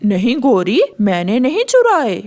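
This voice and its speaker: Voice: mid-range voice, huge pitch swings, unhurried, darkish tone. An actor voicing an exasperated, defensive grown male bird in a cartoon, grumbling and protesting. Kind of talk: cartoon dialogue.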